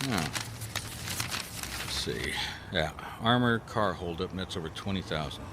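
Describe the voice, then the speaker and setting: An actor playing a dogged, skeptical private detective in a 1950s radio drama, wry and colloquial. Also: male voice, deep voice, expressive, takes it slowly, very noisy, full character voice. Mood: reading aloud. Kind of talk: radio detective drama acting, with first-person narration.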